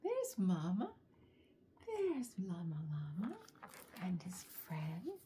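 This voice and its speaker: A woman reading a bedtime story aloud to young children, soft and often breathy, adding chatty asides in an expressive way. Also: medium pitch, takes it slowly, background noise. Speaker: children's story reader, host.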